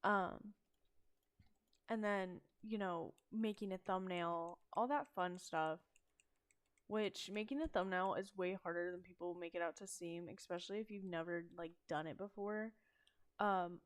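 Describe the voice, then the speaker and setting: Young woman rambling about game news, kinda monotone, lots of ums, smooth clear voice. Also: medium pitch. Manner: monotone, hesitant with many ums. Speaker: gaming channel host. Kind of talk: casual game news chat.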